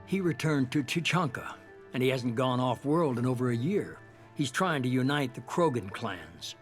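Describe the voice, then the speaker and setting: An actor playing a shadowy, calculating middle-aged leader in a sci-fi game, speaking with measured, composed authority. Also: male voice, mid-range voice, expressive, faint music behind, natural voice. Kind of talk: cinematic sci-fi game dialogue.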